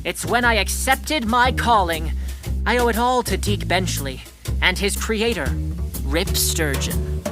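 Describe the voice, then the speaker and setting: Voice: medium pitch, lively intonation, a little slow, loud music behind the voice, lightly acted. An actor playing a precocious, pompous middle-school would-be detective in a children's audio drama, speaking in formal, bookish language. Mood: pompous, lofty. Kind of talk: scripted children's audio drama, first-person narration and dialogue.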